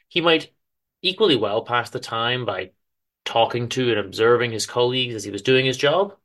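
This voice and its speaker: A man giving a dramatic reading of a short story, in a dry, measured, formal manner. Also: mid-range voice, lively intonation, a bit bright. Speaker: author-narrator reading his own story on a podcast.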